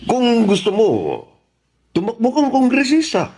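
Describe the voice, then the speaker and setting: A man's voice: very high-pitched, a little slow, a little mellow, slight background noise. A man acting a persuasive relative who pleads for compromise in a Filipino radio drama. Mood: coaxing. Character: persuasive male relative urging a political truce.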